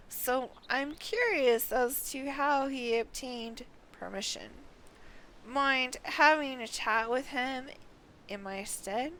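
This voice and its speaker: A curious, slightly self-important female scholar from a game, as a woman reads her aloud. She is polite and formal, speaking slowly in a higher voice and sometimes halting. There is clear noise in the recording. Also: fairly bright tone, full character voice. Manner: formal, deliberate, halting over odd words. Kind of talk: game quest dialogue read in character. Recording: clearly noisy background.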